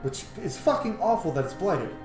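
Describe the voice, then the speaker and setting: A man giving casual, reflective game commentary, thinking aloud in a halting, informal way. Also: sits high, very animated, a little mellow, reverberant, faint music behind. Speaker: gamer, host of a roleplay playthrough.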